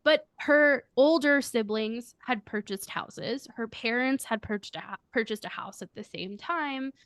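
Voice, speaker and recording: Woman with a high, girlish voice. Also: unhurried, fairly bright tone, dry recording. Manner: earnest, reflective storytelling. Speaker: guest, coach and firm leader. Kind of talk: podcast interview answers.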